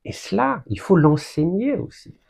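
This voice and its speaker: A man from Algeria giving an emphatic, deliberate public speech that builds long lists of points. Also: high voice, big pitch swings, vocal fry, darkish tone, husky. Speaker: political speaker.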